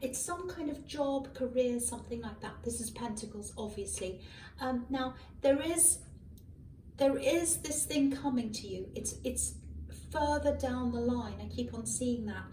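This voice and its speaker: A hoarse woman with a fairly high voice, rambling through a tarot reading and correcting herself as she goes. She sounds distant from a misbehaving microphone in an echoey room, with faint traffic behind her. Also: lively intonation, measured pace, dark tone. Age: adult. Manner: rambling, musing with many ums and self-corrections. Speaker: tarot reader. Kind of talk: casual tarot reading to camera. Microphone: malfunctioning microphone at a distance. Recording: echoey room, far from a faulty mic. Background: faint passing cars.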